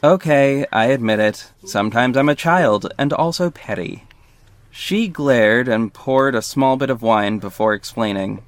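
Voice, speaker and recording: A man's voice: medium pitch, measured pace, lightly acted. A man playing a sarcastic, petty young company head who narrates an audio drama in a wry, dramatic way. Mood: petty, assertive. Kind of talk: first-person audio drama narration and dialogue.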